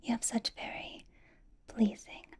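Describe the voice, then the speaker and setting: A woman voicing a possessive, doting, commanding ancient vampire boss in an ASMR roleplay, in a breathy whisper with coaxing hums. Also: measured pace, darkish tone, close to an everyday voice.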